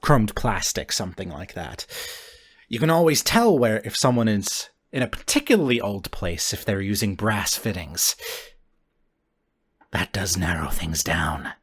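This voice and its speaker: A man's voice: lowish voice, big pitch swings, unhurried, slightly rough, full character voice. A man voicing a shrewd, wry animal-eared informant in a tabletop game, talking thoughtfully with pondering hums and street slang. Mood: thoughtful, explaining. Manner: thoughtful, hesitant hums, sweary street talk.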